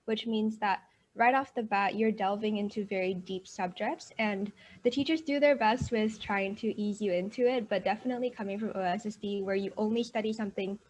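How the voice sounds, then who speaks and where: A woman's voice: mid-range voice, fairly even intonation, brisk, a little mellow, poor audio. A young woman with a Texan-tinged American accent, a university student speaking as a guest on a virtual panel, upbeat and chatty. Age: young adult. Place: at home in summer heat.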